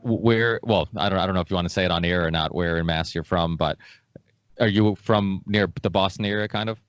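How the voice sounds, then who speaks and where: A man's voice: low-pitched, a little fast, clean tone. A man from Massachusetts hosting a casual podcast, talking in a rambling, emphatic way and repeating himself as he goes. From Massachusetts, born in Portugal. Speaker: podcast host. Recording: dull lo-fi audio.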